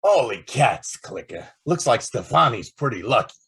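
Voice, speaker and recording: A man playing an excitable, slangy newspaper reporter in an old-time radio drama. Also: mid-range voice, moderate pace, breathy edge, full character voice. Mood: wry surprise. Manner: slangy, exclaiming.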